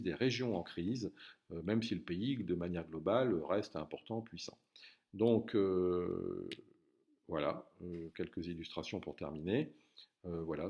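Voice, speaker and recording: A man's voice: low voice, creaky, a little mellow, husky. A man teaching a recorded geography lesson, hesitant, with many fillers and restarts.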